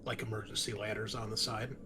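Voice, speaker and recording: A man running a tabletop roleplaying game as game master, narrating conversationally with halting pauses. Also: on the low side, little pitch movement, over music.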